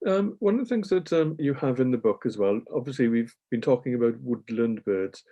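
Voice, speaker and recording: Mellow-voiced male interviewer. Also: medium pitch, expressive. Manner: measured, long winding questions with ums. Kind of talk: interview questions.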